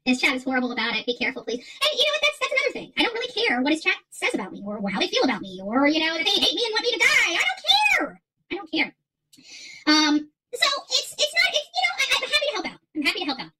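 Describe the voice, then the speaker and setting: Middle-aged woman, high-pitched voice, rapid talker, casual livestream host, emphatic and repetitive. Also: huge pitch swings, pushed and bright, poor audio. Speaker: livestream creator and channel host. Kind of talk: casual livestream chat to viewers. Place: small furnished room at home, indoors.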